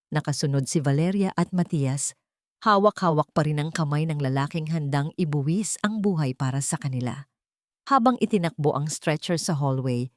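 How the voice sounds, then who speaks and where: A woman's voice: low voice, a little mellow, no echo, studio quality. A woman narrating a scripted dramatic love story as an audiobook narrator.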